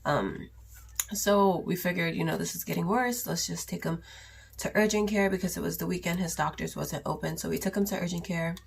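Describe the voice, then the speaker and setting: Raspy-voiced sick young woman vlogging. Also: on the low side, fairly even intonation, brisk, slight background noise. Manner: chatty, rambling, with many ums and likes. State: sick with a sore throat and cough. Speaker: beauty vlogger. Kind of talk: casual haul vlog.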